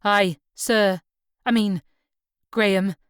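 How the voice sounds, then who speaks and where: A woman reader plays a shy young fisher lad from an island. He speaks politely and a little hesitantly, eager to please, in storybook dialogue with a clear, dry sound. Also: medium pitch, fairly even intonation, takes it slowly, dry recording, lightly acted. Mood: deferential, pleased. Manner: deferential, hesitant. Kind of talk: audiobook character dialogue.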